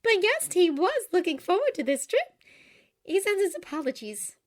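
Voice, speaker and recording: A woman voicing a bubbly, excitable young woman in a storybook reading, with a high, chirpy and breathless delivery. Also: moderate pace.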